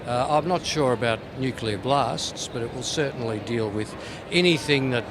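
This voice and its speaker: A man's voice: mid-range voice, moderate pace, background noise. A man giving an informal, playful product demonstration as a company representative at a trade show. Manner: tongue-in-cheek, playful.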